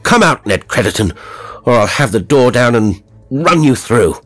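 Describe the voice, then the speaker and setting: A man's voice: medium pitch, very animated, normal speed, no room sound, faint music behind, pitched above the performer's own voice. A man acting an imperious, raised-voice young nobleman. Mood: furious. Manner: commanding, forceful. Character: dark, debauched young nobleman, imperious and hot-tempered.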